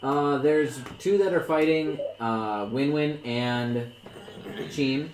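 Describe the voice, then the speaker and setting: A man narrating as dungeon master for friends at a table, relaxed and joking. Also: medium pitch, slow. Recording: echoey room, light background noise.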